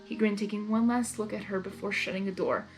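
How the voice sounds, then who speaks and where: A woman's voice: medium pitch, steady tone, brisk, soft background music. A woman reading fan fiction aloud as a narrator, with casual, unrehearsed asides.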